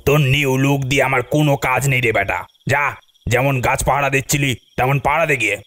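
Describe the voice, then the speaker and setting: A man's voice: mid-range voice, fast, fairly bright tone, natural voice. A man voicing a bossy, unworried uncle in a comic cartoon, teasing and commanding. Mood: teasing, dismissive. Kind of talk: cartoon voice acting.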